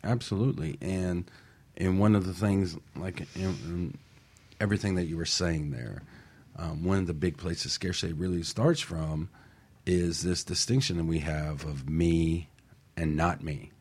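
A man's voice: sits low, fairly even intonation, a little slow, a little mellow. A man in a casual radio discussion, explaining ideas step by step and often checking in with the listener.

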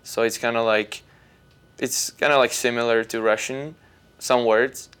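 A young man with a Czech accent, a native Czech speaker, chatting as a podcast guest and talking haltingly with many fillers. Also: medium pitch, steady tone, fairly bright tone, clean tone. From Czech Republic. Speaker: guest hockey player. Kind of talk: casual podcast chat.

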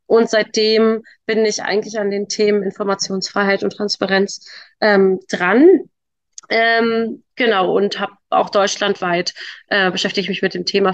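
Higher-voiced young woman, dry dull audio. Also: dry recording. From Berlin, Germany. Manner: hesitant, many fillers and restarts. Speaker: guest, transparency campaigner. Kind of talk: casual interview answers.

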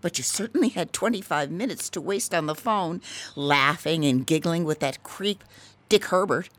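A woman's voice: low voice, moderate pace, no room sound, lightly acted. A woman acting a resentful, lonely middle-aged wife and mother in a radio drama. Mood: resentful, sarcastic.

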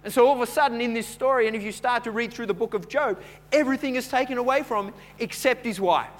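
A man preaching a sermon in a conversational, storytelling way, repeating words as he goes and building from quiet chat to louder preaching. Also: very high voice, quick pace. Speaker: pastor.

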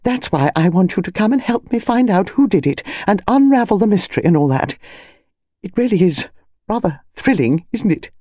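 A woman voicing a breathless, gushing, excitable upper-class older lady in an audiobook. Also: mid-range voice, normal speed, dry recording, clean studio sound, pitched above the performer's own voice, close to an everyday voice. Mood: gleeful, thrilled. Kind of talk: audiobook character voice.

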